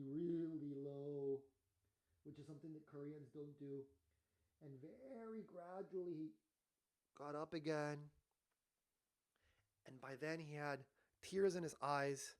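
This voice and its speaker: A man giving a storytelling talk as a workshop leader, animated but dropping to hushed tones at emotional moments. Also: fairly high pitch, no echo.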